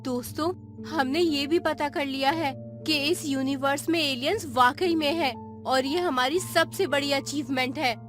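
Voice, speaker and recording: Resolute female team leader acted by a woman, very high voice, cartoon story dialogue over music. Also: unhurried. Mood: eager. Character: determined female space explorer leading her team. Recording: music behind the voice.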